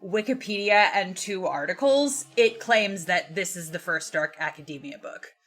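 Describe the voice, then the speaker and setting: Bright, animated woman co-hosting a podcast. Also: lowish voice, normal speed. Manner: playful, giggly. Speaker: podcast co-host. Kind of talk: casual chat.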